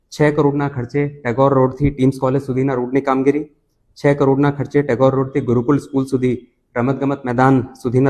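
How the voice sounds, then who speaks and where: A man's voice: medium pitch, a little mellow. A man speaking as a municipal commissioner, giving a formal status briefing and listing items and figures. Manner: formal, list-like delivery of figures. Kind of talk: official project status briefing.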